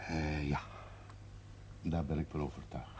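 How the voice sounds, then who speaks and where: A man's voice: extremely low pitch, unhurried. An actor playing a genial, opinionated older man, chatty and hospitable, in a naturalistic television drama. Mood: gentle. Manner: animated, chatty. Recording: noisy 1970s television soundtrack.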